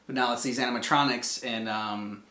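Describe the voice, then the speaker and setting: A man hosts a reaction video with casual commentary. His voice sits at a middling pitch. The room around him is noticeably echoey. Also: fairly even intonation, normal speed, pushed and bright.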